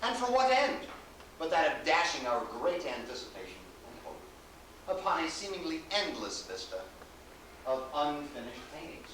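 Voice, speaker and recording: A man's voice: on the high side, big pitch swings, moderate pace, a bit bright. Echoey, pompous, mocking orator, played by a man. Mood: mock-solemn, scornful. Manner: grandiloquent, declamatory. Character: pompous, florid, mocking orator giving a toast. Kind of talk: theatrical monologue. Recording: very echoey hall from far off, faint noise.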